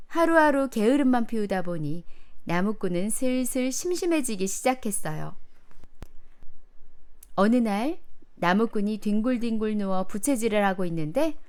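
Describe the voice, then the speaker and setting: Lively woman reading a children's storybook. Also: mid-range voice, moderate pace, clear, resonant tone. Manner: expressive, careful storytelling for young children. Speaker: storybook narrator.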